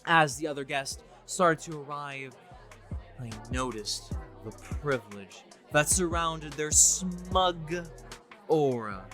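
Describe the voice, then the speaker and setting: A man's voice: on the high side, lively intonation, takes it slowly, pushed and bright, faint music behind, exaggerated voice. A man playing a smug, deluded college student who thinks he is the Joker, in a pompous, theatrical story reading. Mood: grandiose. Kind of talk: dramatic first-person story reading.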